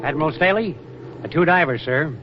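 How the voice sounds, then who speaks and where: A brisk Navy lieutenant acted by a man, rather high in voice, earnest and deferential to his superiors. The 1940s radio drama recording is dull and quite noisy. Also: natural voice. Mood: crisp, dutiful. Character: brisk, dutiful young male Navy lieutenant, admiral's aide.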